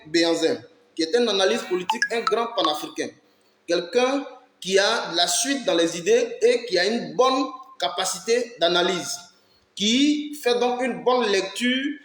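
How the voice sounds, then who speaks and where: High-voiced, emphatic man. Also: a bit bright. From Ivory Coast. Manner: emphatic, rhetorical questions, urges listeners to listen. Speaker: political commentator hosting his channel. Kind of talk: impassioned political commentary. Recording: roomy echo.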